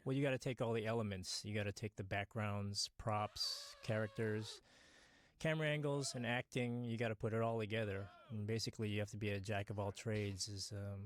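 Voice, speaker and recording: A middle-aged man speaks in a lowish voice. He sounds like a working animator on a commentary track. His talk is casual, earnest and explanatory. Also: steady tone, brisk.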